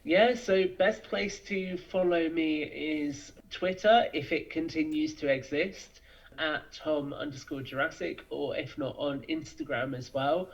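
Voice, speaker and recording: A man's voice: a little high, a little slow, muffled recording. A man chatting as a podcast guest over a remote connection, explaining his thoughts fluently. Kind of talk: casual interview chat.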